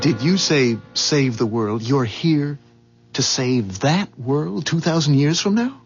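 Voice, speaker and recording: A man's voice: medium pitch, expressive, takes it slowly. An actor playing an ordinary, astonished man who questions everything incredulously, in 1970s radio drama style. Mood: puzzled, probing. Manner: incredulous, inquisitive. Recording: dull 1970s radio recording, faint music.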